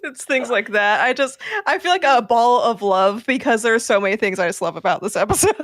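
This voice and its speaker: A woman's voice: a little high, lively intonation, fairly quick, dry recording. A woman sound designer chatting casually on a panel in a gleeful, effusive way.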